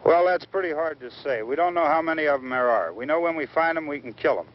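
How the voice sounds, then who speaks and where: Actor as unfazed folksy American sheriff, higher-pitched. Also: male voice, expressive, fast talker, lightly acted. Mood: matter-of-fact, unfazed. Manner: matter-of-fact, folksy. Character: plainspoken, unfazed male small-town sheriff leading a posse. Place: outdoors. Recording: dull, noisy old outdoor film sound.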